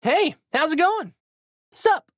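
A man's voice: extremely high pitch, big pitch swings, slow pace, a bit bright, no room sound, studio quality, heavily acted. A man voicing a plucky, goofy teenage boy hero with slangy, exuberant delivery for a video game. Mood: upbeat, chatty. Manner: exuberant, slangy surfer-dude boyishness. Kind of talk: video game character voice lines.

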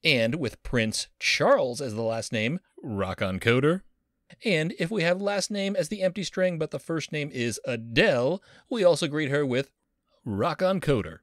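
A man's voice: on the high side, expressive, a little slow, a bit bright, no echo, studio quality. A man teaching a coding lesson as a professor, playful and enthusiastic, with pop-culture jokes. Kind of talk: screen-recorded coding lesson.

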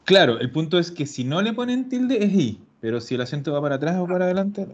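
A man teaching Italian in an online class, patient and explaining slowly, repeating words. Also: high voice, lively intonation. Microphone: video call. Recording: dull lo-fi call audio.

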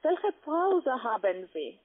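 A woman's voice: sits high, big pitch swings, slow, phone-quality sound. A woman with a foreign accent in German, a call-center agent giving insistent step-by-step instructions over the phone. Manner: insistent, repeatedly checking with tag questions.

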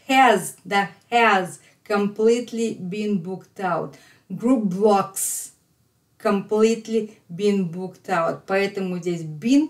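A middle-aged woman with a Russian accent teaching English, explaining line by line and repeating phrases emphatically for learners. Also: medium pitch. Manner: slow, emphatic repetition for learners. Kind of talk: lesson explaining a phone call line by line.

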